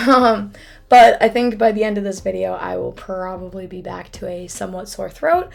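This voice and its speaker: A young woman with a hoarse, froggy voice, casually telling a true crime case as a YouTube host, with chatty, apologetic asides. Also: mid-range voice, moderate pace.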